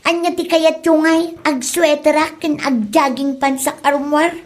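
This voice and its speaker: A woman plays a cheeky young daughter in an Ilocano comedy radio drama. The voice sits very high and girlish, and talks back in a light, protesting way. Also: fairly even intonation, measured pace. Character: young daughter, cheeky, fashion-minded, eager to go out.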